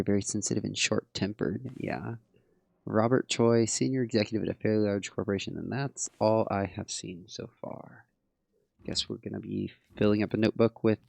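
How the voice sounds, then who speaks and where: A hoarse man casually thinking aloud and tallying numbers while commenting on his game. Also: lowish voice, a little mellow, no room sound. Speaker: game player. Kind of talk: let's play commentary.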